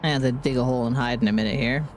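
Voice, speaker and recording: A woman live-streaming a game with casual, playful commentary, chattering to herself, her voice deepened by being sick. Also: steady tone, rapid-fire, slight background noise.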